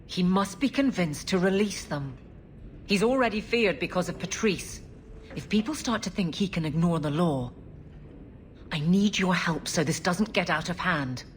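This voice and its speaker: A woman playing a stern, dutiful female city guard captain in a video game, speaking in an earnest, firm, measured way. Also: lowish voice, lively intonation, a little hiss or hum, natural voice. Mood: grave, urgent.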